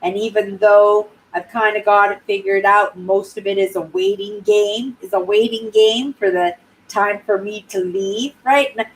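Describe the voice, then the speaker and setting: A woman in her sixties from Canada, chatting casually on her live stream in a clear, high-pitched voice and often ending sentences with "right?". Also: fairly even intonation, normal speed, slight background noise, poor audio. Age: 60s. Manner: rambling, tags sentences with "right?". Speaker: YouTube channel host.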